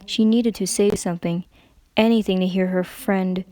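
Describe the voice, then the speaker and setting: A woman's voice: mid-range voice, steady tone, moderate pace, warm tone, low-quality recording. A woman narrator giving a dramatic reading of a story.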